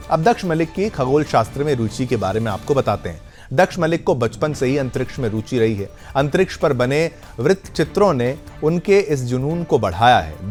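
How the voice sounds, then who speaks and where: A man anchoring television news, explaining stories conversationally and often rephrasing points for viewers. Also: mid-range voice. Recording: music bed under the voice. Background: background music.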